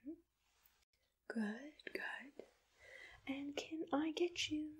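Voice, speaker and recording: Slow, whispering breathy ASMR woman. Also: high-pitched. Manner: gentle, reassuring, softly attentive. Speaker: ASMR roleplay host as a monster matchmaker. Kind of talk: soft whispered ASMR personal attention roleplay.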